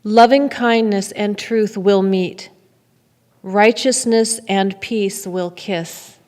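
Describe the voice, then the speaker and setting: A middle-aged woman giving a solemn, deliberate dramatic reading of testimony at a lectern. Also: medium pitch, fairly even intonation, darkish tone. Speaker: readers theater performer. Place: temple sanctuary at a lectern. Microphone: boom mic on a stand at lectern. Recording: echoey hall with long reverb.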